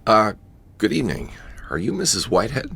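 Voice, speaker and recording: A man's voice: on the low side, huge pitch swings, brisk, gravelly, sharp tone, husky, full character voice. A man acting in a radio drama as a wry jazz pianist turned amateur sleuth, with a dry, hesitant manner. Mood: hesitant, polite.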